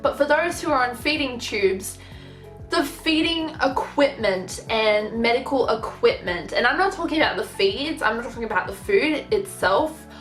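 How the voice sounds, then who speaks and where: A woman's voice: a little high, lively intonation, normal speed, a bit bright, faint music behind. Chatty woman, echoey room. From Australia. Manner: chatty, frank, with many fillers. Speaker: vlogger. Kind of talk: casual talk to camera.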